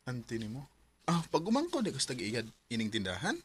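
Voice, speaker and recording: A man playing a hesitant young man courting a woman in a radio drama, gentle and laughing nervously. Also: mid-range voice, wildly swooping pitch, a little fast, husky, heavily acted.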